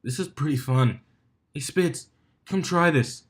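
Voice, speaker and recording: A man's voice: a little high, measured pace, husky, pitched above the performer's own voice. A man puts on a young-at-heart stallion athlete in a storybook reading. He is breezy and teasing, and talks like a casual joker. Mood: playful.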